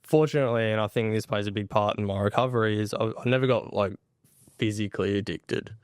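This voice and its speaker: A man's voice: on the low side, fast talker, smooth, no room sound, studio quality. A man speaking candidly and casually as a guest on a podcast.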